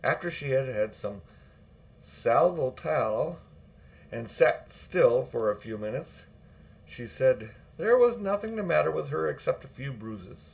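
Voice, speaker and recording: Older male audiobook narrator, mid-range pitch, reading children's fiction. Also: lively intonation, measured pace, creaky, darkish tone, poor audio.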